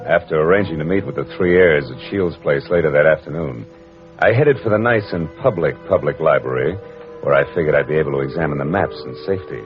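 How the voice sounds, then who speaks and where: Wry, tough gumshoe narrator, deep register, deadpan delivery, vintage dull radio sound. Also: male voice, fast, over music. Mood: tense narration. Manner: deadpan, sardonic. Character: wry, tough male private detective narrating his case. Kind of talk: 1940s radio detective acting, scripted first-person narration.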